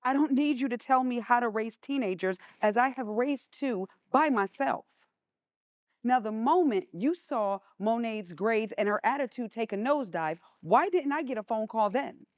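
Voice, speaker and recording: A woman's voice: fairly high pitch, lively intonation, normal speed, dry recording, dull audio, natural voice. Woman acting a stern, commanding mother. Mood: indignant, stern. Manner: commanding, pointed, formally clipped. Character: stern, proud mother of a troubled teenager. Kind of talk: stage play acting.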